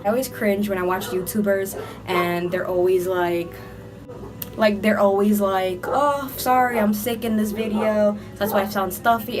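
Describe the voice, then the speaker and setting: A young woman talks through her makeup routine in a loose, rambling way, leaning on "like" as she goes. She sounds a touch under the weather from a cold, and her voice sits at an ordinary middle pitch. Background music plays clearly behind her in a room under filming lights. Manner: chatty and rambling, heavy use of "like". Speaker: beauty vlogger. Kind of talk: casual makeup tutorial chat.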